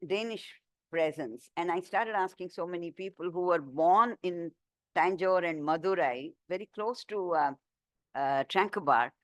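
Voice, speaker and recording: A woman author giving a book talk and answering questions, chatty and animated, with many fillers and restarts. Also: fairly low pitch, dry recording. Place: talk room.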